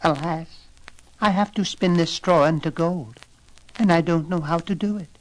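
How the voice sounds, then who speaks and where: A man's voice: fairly high pitch, normal speed, pitched above the performer's own voice, close to an everyday voice, low-quality recording. A man voicing a distressed young girl, later a queen, in a storybook tale, plaintive and fretful. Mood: tearful, helpless. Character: distressed young poor girl who becomes a pleading queen.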